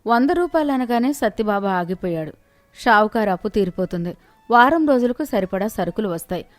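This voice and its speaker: A woman's voice: mid-range voice, animated, fairly quick, no echo, faint music behind. A woman narrator reading a scripted moral tale in storytelling style.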